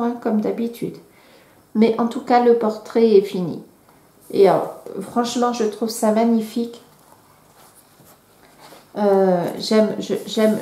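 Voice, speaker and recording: A woman's voice: on the high side, warm tone. French woman with a cold, hesitant and chatty. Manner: hesitant, many fillers and restarts. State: has a cold. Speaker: vlogger. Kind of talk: casual chat. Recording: echoey room.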